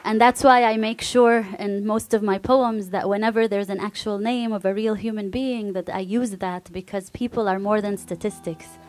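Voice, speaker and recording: A woman's voice: mid-range voice, darkish tone. A woman with an Arabic accent performing spoken word poetry on a live stage, rhythmic and repetitive, building to fierce intensity. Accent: Arabic-accented English. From Palestinian family, refugee camp.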